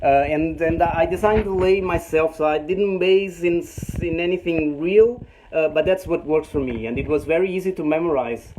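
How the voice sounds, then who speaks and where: A Portuguese man in his thirties, speaking English with many pauses and restarts as he gives a casual tour of his small home workshop. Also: a little high, darkish tone, slight background noise, low-quality recording. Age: 30s. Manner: hesitant, many fillers and restarts, chuckles often. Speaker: host showing his home print workshop. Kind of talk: casual live-stream tour. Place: small home machine room.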